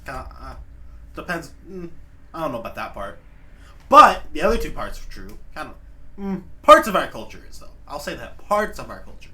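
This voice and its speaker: A man's voice: fairly high pitch, big pitch swings, fairly quick, pushed and bright. An American man hosting a reaction video, talking loosely in slang, swearing and laughing often. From United States. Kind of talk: casual reaction commentary.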